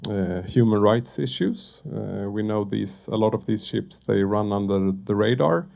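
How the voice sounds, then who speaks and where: A man speaking on a panel, slow and halting with many fillers. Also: fairly low pitch, gravelly, darkish tone. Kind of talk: panel discussion remarks.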